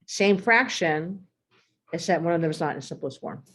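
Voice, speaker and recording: Low-voiced woman teaching, voice going hoarse. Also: animated, moderate pace, fairly bright tone. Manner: clears throat often, talks through examples step by step. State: losing her voice. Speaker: math teacher. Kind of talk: recorded math lesson.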